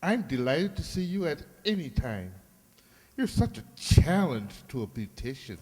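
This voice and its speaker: An actor playing an effusive, flattering beauty-salon stylist in a flowery, affected manner, in a comic radio play. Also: male voice, fairly high pitch, unhurried. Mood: theatrical, flattering. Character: gushing, theatrical male hairdresser, flattering and two-faced. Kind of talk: comic old-time radio sitcom acting. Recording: echoey hall with long reverb.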